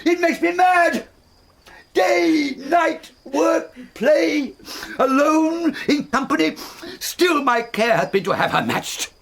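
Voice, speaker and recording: A man's voice: very high-pitched, lively intonation, slow, vocal fry, a bit bright, full character voice. An actor playing a furious, domineering older nobleman father in a Shakespearean radio drama, with a British accent and explosive, theatrical delivery. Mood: furious, scornful.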